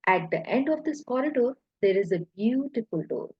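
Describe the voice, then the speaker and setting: A woman leading a guided hypnosis relaxation in a soothing, deliberate way, pausing between short phrases, with a husky, breathy voice. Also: a little high, expressive, no echo, highs cut off.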